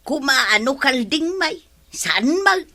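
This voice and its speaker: Actress as a lively, aggrieved Ilocano village woman. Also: high voice, slow pace, exaggerated voice. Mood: protesting. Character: aggrieved village woman complaining about neighbours' goats. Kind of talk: Ilocano comedy radio drama acting.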